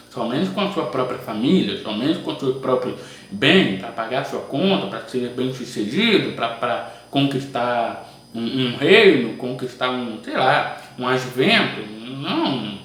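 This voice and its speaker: A man's voice: on the high side, fairly bright tone. A man reading Bible verses aloud and commenting on them informally, often adding "né?" as he goes. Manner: reads verses aloud, then comments with frequent "né?" asides. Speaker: preacher reading scripture. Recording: distant mic, very echoey room.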